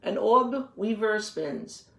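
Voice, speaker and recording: A woman reading a children's picture book aloud, pausing to explain things simply and put questions to the young listeners. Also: mid-range voice, expressive. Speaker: read-aloud teacher.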